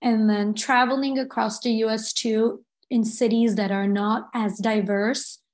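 A young woman from Los Angeles, a guest speaking softly and reflectively in a casual interview, with many fillers. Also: on the high side, steady tone, unhurried. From Los Angeles, United States. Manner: soft-spoken in English.

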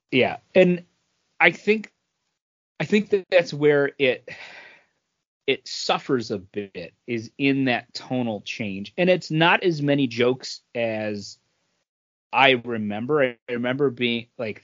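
A man's voice: medium pitch, lively intonation, slow pace, a bit bright, dry recording. A man co-hosting a podcast, giving his take on films in casual conversation. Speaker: podcast co-host. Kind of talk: casual movie review chat. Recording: dull, lo-fi, dry close sound.

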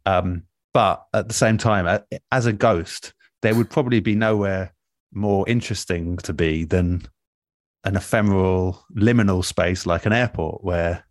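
A man talking reflectively as the guest in a relaxed interview, a radio producer looking back on his work. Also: sits low, a little slow, dry recording, clean studio sound.

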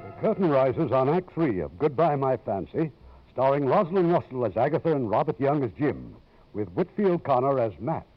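Lively radio drama host over music, male. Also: medium pitch, normal speed. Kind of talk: 1950s scripted radio announcing. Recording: dull old radio broadcast with music.

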